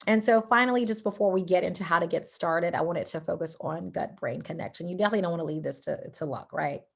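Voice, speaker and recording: Woman, Southern accent, raspy and hoarse, gravelly low voice, chatty sales pitch on a video call. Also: fast. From Louisiana. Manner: chatty, lots of fillers, says y'all. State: hoarse from talking too much. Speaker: supplement seller presenting on a call. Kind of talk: casual sales presentation.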